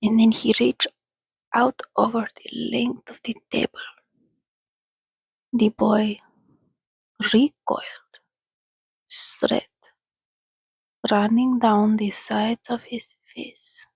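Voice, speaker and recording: A woman speaking in a hushed, soothing, often whispered ASMR style, as if calming a companion. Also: on the high side, drawn-out words, vocal fry, pauses often, husky, no room sound, rough recording. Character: calm, sociable female vampire soothing a companion. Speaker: ASMR host. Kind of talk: soft-spoken ASMR reading and roleplay.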